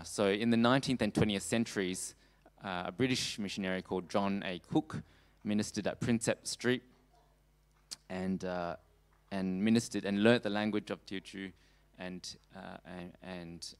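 A man preaching a missions sermon in a halting way, often pausing mid-phrase. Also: low voice, clear, resonant tone. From Australia. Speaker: preacher giving a talk on world missions. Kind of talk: sermon with slides, closing in prayer.

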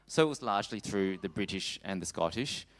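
A man preaching a missions sermon in a halting way, often pausing mid-phrase. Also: low voice, lively intonation, fairly bright tone. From Australia. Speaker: preacher giving a talk on world missions. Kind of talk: sermon with slides, closing in prayer.

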